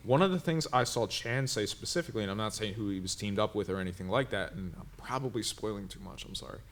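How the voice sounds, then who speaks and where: A man's voice: fairly low pitch, fast talker, a bit bright. A man co-hosting a reaction video, explaining at length in a rambling, enthusiastic way with many restarts. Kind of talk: casual reaction commentary.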